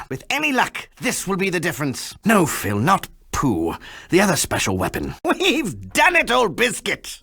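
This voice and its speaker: A man's voice: sits high, pushed and bright, full character voice. A man voicing a refined, erudite chimpanzee in a video game, with a British accent and a pompous, genteel, wordy manner. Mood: eager, triumphant. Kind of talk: video game character voice clips.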